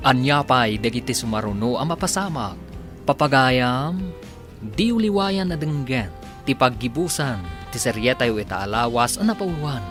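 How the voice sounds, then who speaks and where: A man's voice: medium pitch, expressive, normal speed. A man announcing a radio drama from a script, with theatrical, stretched-out phrasing over a music bed.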